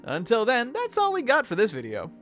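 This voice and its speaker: Animated, expressive video host over music. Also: mid-range voice, brisk. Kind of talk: conversational video commentary.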